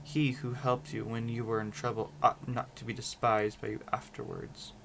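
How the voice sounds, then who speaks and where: A man's voice: mid-range voice, level tone, moderate pace, creaky, background noise, lightly acted. A man voicing a stern, fatherly king in a storybook read-aloud, speaking formally. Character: stern fatherly king, firm about promises.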